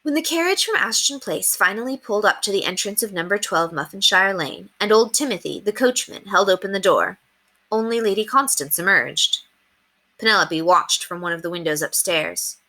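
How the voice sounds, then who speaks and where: A woman reading a children's book aloud as an expressive storyteller. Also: medium pitch, normal speed.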